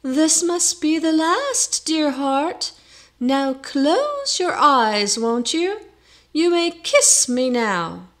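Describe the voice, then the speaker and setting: Woman as sly man faking coy high falsetto. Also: sing-song, extremely slow, exaggerated voice. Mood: coy, teasing. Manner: high, breathy mock-tender coyness.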